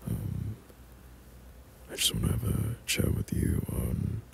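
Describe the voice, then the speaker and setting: A young man doing intimate boyfriend roleplay ASMR in a breathy, often whispered voice, speaking tenderly and hesitantly. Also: slight background noise. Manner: tender, hesitant, softly chuckling. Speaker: boyfriend ASMR audio performer.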